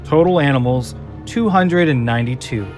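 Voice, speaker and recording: A man's voice: medium pitch, moderate pace, a little mellow, soft background music. A man narrating an audio drama in a scripted, dramatic storytelling style.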